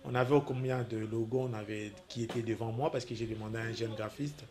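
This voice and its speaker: A man from the Democratic Republic of Congo answers questions as a film producer. He talks haltingly, circling back over his own phrases and slipping in Lingala words now and then. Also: medium pitch, steady tone, crowd noise. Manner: hesitant, repeats himself, mixes in Lingala. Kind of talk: TV interview, casual answers.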